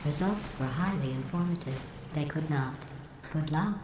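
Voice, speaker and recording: A woman voicing a cold, sarcastic female AI that runs a test facility, with a synthetic robotic edge and a deadpan, passive-aggressive delivery. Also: deep voice, moderate pace. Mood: dry sarcastic. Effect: synthetic robotic processing. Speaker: antagonist. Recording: roomy echoing game audio with faint noise.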